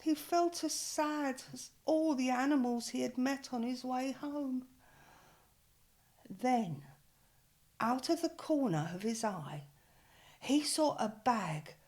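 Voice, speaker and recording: A woman reading a children's picture book aloud in a gentle, expressive bedtime manner. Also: high voice, slow. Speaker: storyteller.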